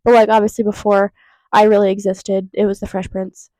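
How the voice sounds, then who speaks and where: A woman's voice: medium pitch, flat delivery, a little fast, darkish tone, dry recording, poor audio. A young woman in her twenties with a cold and a stuffed-up nose, chatting casually to her viewers in a rambling way full of fillers and tangents. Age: 20s. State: congested with a cold. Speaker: vlogger. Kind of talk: casual chat to camera. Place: vape shop counter.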